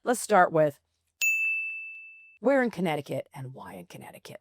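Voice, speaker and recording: A woman's voice: low voice, sing-song, moderate pace, very noisy. A woman hosting an interview podcast, introducing her guest and chatting in an encouraging way. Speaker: podcast host.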